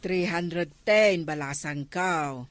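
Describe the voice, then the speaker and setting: Actress as slow-spoken village storekeeper. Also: female voice, lowish voice, no echo. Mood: offended. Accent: Ilocano. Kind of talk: Ilocano comedy audio drama acting.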